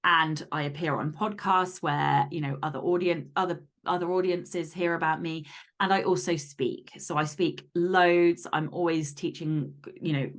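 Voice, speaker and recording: A woman speaking as a guest author and podcaster in casual interview chat, candid, animated and emphatic. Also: low-pitched, moderate pace.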